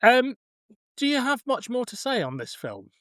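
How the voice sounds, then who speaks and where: A man's voice: extremely high pitch, wildly swooping pitch, moderate pace, fairly bright tone, no room sound. A man hosting a casual conversation, giving his opinions on films in an everyday conversational style. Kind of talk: casual film discussion.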